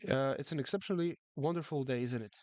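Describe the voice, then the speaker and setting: A man's voice: mid-range voice, fast, dry recording. A man chatting casually on a live chess stream, reading the chat and often repeating his words. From France.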